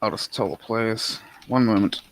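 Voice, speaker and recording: An Australian man casts a live match over a headset mic. His delivery is upbeat and chatty, full of player stats and quick asides. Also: fairly low pitch, poor audio. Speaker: esports caster. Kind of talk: live game commentary.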